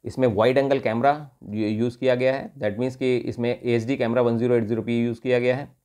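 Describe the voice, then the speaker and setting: A man with an Indian accent talks through a product unboxing in an unscripted way. His voice sits at a medium pitch, and he explains each part, often restating things between Hindi and English. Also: level tone, a little fast, mellow. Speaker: product reviewer.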